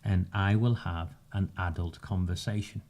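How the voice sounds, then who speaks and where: A man, delivering a measured, sarcastic campaigning monologue with emphatic pauses. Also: sits low, steady tone, a little mellow. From northern England. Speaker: community campaigner. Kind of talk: direct-to-camera monologue.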